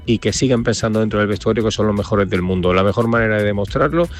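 A man reports sports news for radio in a steady, unhurried way. His voice sits on the low side. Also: faint music behind.

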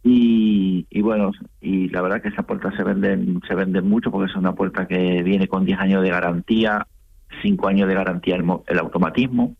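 A man's voice: deep voice, fairly even intonation, fairly quick, gravelly, breathy edge. Argentine man calling in on a muffled line. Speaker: caller, small business owner. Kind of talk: casual phone chat on radio.

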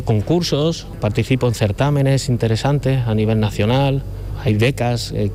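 A middle-aged painter with a Cádiz Andalusian accent, chatting as an interview guest in a loose, rambling way. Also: male voice, medium pitch, warm tone. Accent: Cádiz Andalusian Spanish. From Cádiz, Spain. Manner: rambling, loose talker who often circles back. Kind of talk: relaxed conversational interview.

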